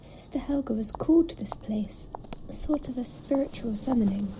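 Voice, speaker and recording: A woman playing a gentle, reverent, fearful cat nun in an audio drama, with a breathy, hushed, earnest delivery. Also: on the high side, normal speed, whispered, lightly acted, low-quality recording. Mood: solemn, fearful. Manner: earnest, solemn.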